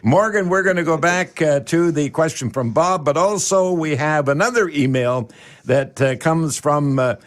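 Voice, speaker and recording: An older man hosting a home repair radio show, explaining in a folksy, halting way and repeating himself for emphasis. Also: fairly high pitch. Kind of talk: conversational radio advice, explaining to listeners.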